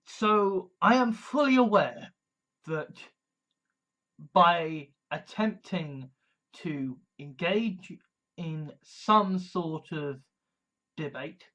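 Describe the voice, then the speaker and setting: A man from England, giving a measured, deliberate monologue full of restarts and fillers. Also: a little high, animated, pushed and bright, poor audio. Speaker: video commentator. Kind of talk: casual monologue.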